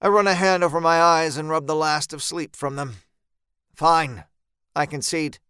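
A man narrates a dark first-person tale with measured tension. His voice is smooth and resonant, sitting a little high for a man. The recording is very dry and close, with every word cleanly shaped. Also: no room sound. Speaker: story narrator. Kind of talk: dramatic first-person horror audiobook narration.

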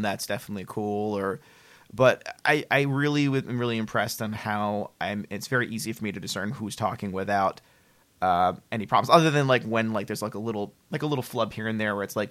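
A man's voice: fairly low pitch, fairly bright tone. A man co-hosting a podcast in casual chat, rambling and often stammering over repeated words. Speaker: podcast co-host.

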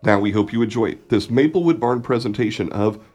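Male announcer for radio drama intro, fairly low pitch, scripted presentational read, soft music behind. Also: a little fast. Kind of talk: scripted narration.

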